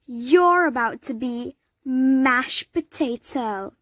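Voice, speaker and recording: A woman's voice: high voice, animated, very slow, no room sound, studio quality, heavily acted. A woman voicing a boastful male pea villain in a children's storybook, gloating and shrieking. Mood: taunting.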